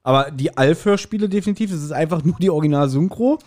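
Male podcast co-host, forties, higher-pitched voice, animated self-deprecating storyteller, casual nostalgic chat. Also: fast. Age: 40s.

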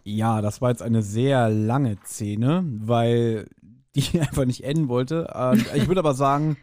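A man co-hosting a podcast, casually discussing and speculating at length with pauses and self-corrections. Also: mid-range voice, a little mellow. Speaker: podcast co-host. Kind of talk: casual audio drama discussion.

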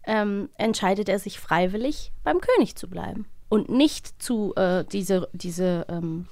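A woman's voice: mid-range voice, lively intonation, measured pace, darkish tone, no room sound. A woman hosting a podcast in a casual, chatty style. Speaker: podcast host. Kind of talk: casual chat.

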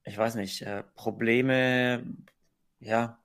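A man hosting a casual podcast, asking questions in a relaxed, slangy way. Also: mid-range voice, very slow, smooth. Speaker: podcast host.

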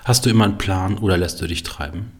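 A man hosting an interview, asking questions in a relaxed, informal way. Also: low-pitched, quick pace, slightly rough. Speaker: interviewer, podcast host.

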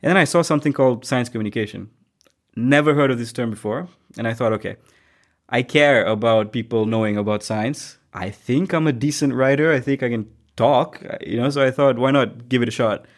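A man's voice: mid-range voice, a little fast. A young man from Malaysia, an international student, reflecting aloud in a casual interview chat with frequent restarts.

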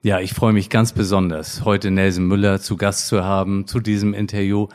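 A middle-aged man hosts a podcast, his voice sitting very low. He reads his intro in a measured, formal way before turning to his guest. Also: level tone, a little mellow. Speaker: podcast host, hospital director. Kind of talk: scripted podcast intro, then interview.

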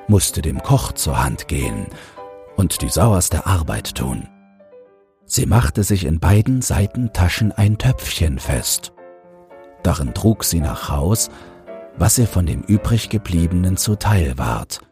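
Ein Mann liest als Hörbucherzähler ausdrucksvoll einen geschriebenen Märchentext vor. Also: male voice, low voice. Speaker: audiobook narrator and podcast host. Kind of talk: scripted fairy-tale narration. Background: soft music.

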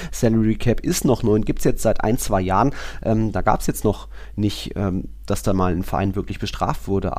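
Low-voiced man, casual podcast talk. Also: a little mellow. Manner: colloquial, thinking aloud. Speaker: football podcast co-host.

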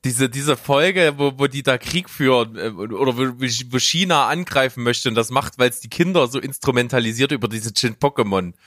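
A man's voice: medium pitch, normal speed, few pauses, fairly bright tone, slight background noise. A man chatting casually as a podcast host in a group conversation. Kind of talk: casual group chat about childhood TV.

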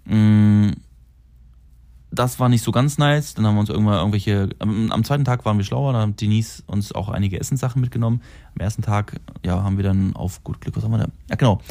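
A man hosting his own podcast, chatting casually and loosely, often correcting himself and filling pauses. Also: on the low side, warm tone. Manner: rambling, self-correcting, frequent fillers. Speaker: podcast host. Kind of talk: casual solo podcast talk. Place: holiday rental in Florida.

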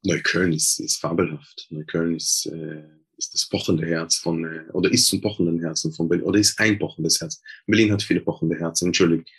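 A man's voice: low-pitched, steady tone, brisk, slightly rough. A man from Israel, a Hebrew speaker, talking hesitantly as an interview guest with many filler sounds and restarts. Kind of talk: casual interview talk.

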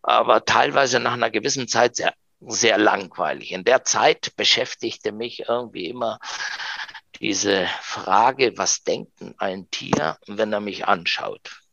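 Middle-aged male guest, gravelly and fairly low, reflective storyteller with fillers, dry dull recording. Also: unhurried, sharp tone, dry recording. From Argentina. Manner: reflective, rambling with many fillers. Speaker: researcher guest. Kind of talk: podcast storytelling.

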